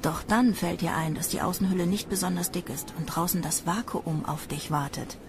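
Actress as a jaded, creaky-voiced female recruit. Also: on the low side, normal speed, over music, lightly acted. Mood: weary sarcasm. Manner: sarcastic, offhand. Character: jaded, streetwise young female space recruit. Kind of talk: casual radio drama dialogue.

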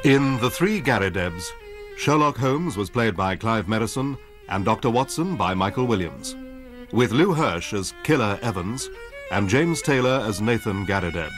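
A man's voice: fairly low pitch, expressive. Formal male announcer over violin music. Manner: measured, formal. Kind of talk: scripted credits reading.

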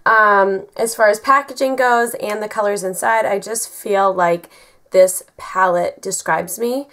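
A young woman in her twenties, raspy from a cold, chatting through a makeup tutorial in a bedroom, full of ums and likes. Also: medium pitch, unhurried, fairly bright tone. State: getting over a cold. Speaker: beauty vlogger. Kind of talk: casual makeup tutorial talk. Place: bedroom, indoors.

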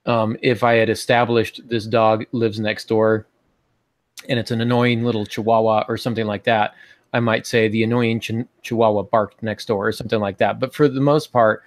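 A man's voice: lowish voice, little pitch movement, normal speed, dry recording, clean studio sound. An American man in his forties giving informal instruction as a podcast co-host on a video call, thinking aloud as he goes. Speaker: podcast co-host teaching fiction writing. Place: home office, on a video call.

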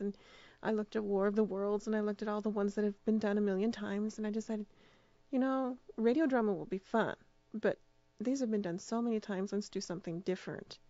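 A woman's voice: mid-range voice, dark tone, no room sound. Woman interviewee, dry and slightly dull sound. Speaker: radio drama producer and director, interviewee. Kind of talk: casual interview answers.

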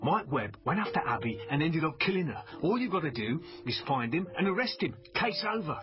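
A blunt, dismissive man in a radio crime drama. His pitch is a bit high and moves freely, and music plays under the slightly dull broadcast. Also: sing-song, a little fast.

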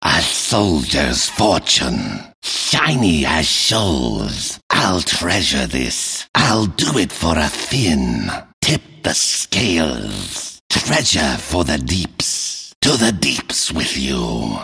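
A man voicing a menacing, grim and proud deep-sea fish-man guard for a video game, in a raspy, growling voice with a forceful delivery. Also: big pitch swings, extremely slow, full character voice. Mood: fierce, determined. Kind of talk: video game character voice lines.

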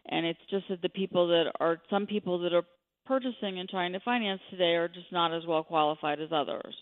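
A woman's voice: on the low side, brisk. A woman speaking as an expert guest in a radio interview, giving commentary on the economy. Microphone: telephone. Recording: narrow, tinny phone line.

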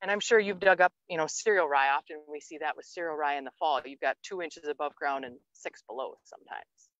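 Upbeat woman host, lower voice. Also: a little fast, fairly bright tone, no echo, muffled recording. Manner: upbeat, leading questions with recaps. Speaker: host, soil health interviewer. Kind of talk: casual outdoor interview. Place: farm field outdoors.

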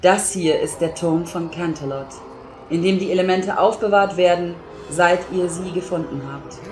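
A woman playing a grave, regal pony princess with a solemn, formal, measured delivery in an audio drama. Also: on the low side, music in the background, lightly acted, rough recording. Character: grave, regal pony princess, a long-reigning female ruler. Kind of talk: fan audio drama acting.

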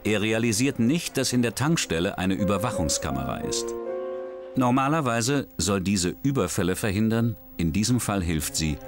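A man reads true crime narration in a very low voice that still moves a lot in pitch. He keeps a measured, serious tone. Music plays clearly beneath him. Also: lively intonation. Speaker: documentary narrator. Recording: music bed under voice.

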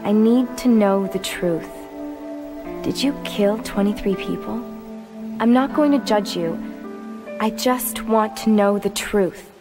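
A woman's voice: medium pitch, slow pace, over music, lightly acted. A woman playing an earnest, dutiful young police rookie in a video game, sincere and urgent. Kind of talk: video game cutscene acting.

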